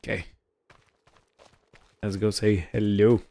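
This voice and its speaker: Slow, mellow low-voiced man narrating gameplay. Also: little pitch movement. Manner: musing aloud, narrating own actions. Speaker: gaming video host. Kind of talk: casual roleplay gameplay commentary.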